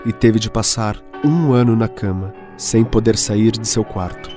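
A man with a Brazilian accent giving measured, scripted narration for a radio drama. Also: lowish voice. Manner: measured storytelling. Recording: music clearly behind voice.

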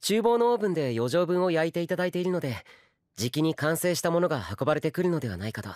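A man's voice: on the high side, animated, very fast, darkish tone, dry recording, studio quality, natural voice. Man acting polite, modest young swordsman. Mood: humble, polite. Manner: polite, deferential, mild. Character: modest, courteous young swordsman noble who cooks. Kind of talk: anime audio drama acting.

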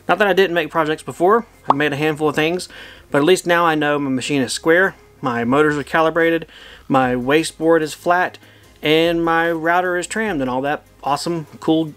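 Man, 30s, slightly high voice, easygoing hobbyist host; casual how-to narration in his home shop. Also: a bit bright. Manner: easygoing, plainspoken, thinks aloud. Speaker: woodworking channel host. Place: home woodshop.

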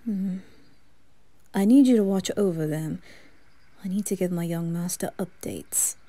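A woman voicing a composed, secretive male butler with a breathy voice, formal and wary, in a soft anime roleplay. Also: lowish voice, unhurried, no echo. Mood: resigned, formal.

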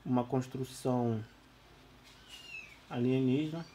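A Brazilian man who is losing his voice, giving casual, slangy, excitable gameplay commentary. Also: medium pitch, takes it slowly, noisy background. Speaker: gaming YouTuber.

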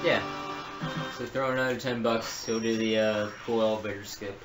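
A man's voice: on the low side, fairly even intonation, normal speed. A man co-hosting a live game marathon, chatty and teasing, laughing often. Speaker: marathon co-host. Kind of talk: casual live commentary. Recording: roomy, from far off, loud game music.

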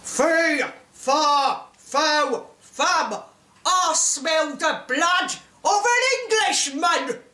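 A woman voicing a huge, menacing man-eating giant, booming and drawn-out, in a theatrical storybook reading. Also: expressive, sharp tone, reverberant, exaggerated voice.

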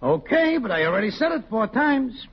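A proud, touchy working man in an old radio comedy. His voice is extremely high for a man. The recording is dull with faint noise. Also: big pitch swings, normal speed, exaggerated voice. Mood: indignant, proud. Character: proud, indignant factory worker bragging about his son. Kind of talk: 1940s radio comedy acting.

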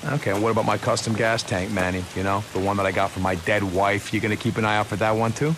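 An actor playing a terse, sardonic, hot-tempered drifter biker in a video game cutscene. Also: male voice, fairly low pitch, flat delivery, quick pace, close to an everyday voice. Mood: angry. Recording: clear rain-like noise behind.

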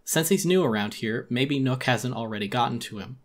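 A man's voice: medium pitch, a little fast. Theatrical man narrating a story. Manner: theatrical, brooding. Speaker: audiobook narrator. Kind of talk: dramatic scripted first-person narration.

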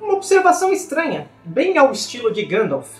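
A man's voice: very high-pitched, huge pitch swings, a little fast, roomy sound, faint music behind, heavily acted. A man voicing an elderly, wistful hobbit who rambles reflectively in a storybook reading. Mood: chatty. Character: elderly male hobbit, wistful, chatty adventurer. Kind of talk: dramatized book reading. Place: small indoor room.

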